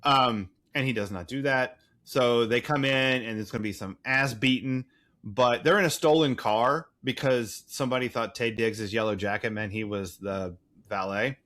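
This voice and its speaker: Bright-voiced man, rambling and irreverent. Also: mid-range voice, normal speed. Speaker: podcast co-host. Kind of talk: casual movie recap chat.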